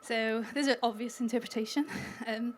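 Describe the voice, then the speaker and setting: A woman's voice: a little high, steady tone, moderate pace, slight background noise. A Welsh woman speaking at a conference, chatty and upbeat, laughing lightly between points. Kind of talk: conference talk. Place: conference hall stage.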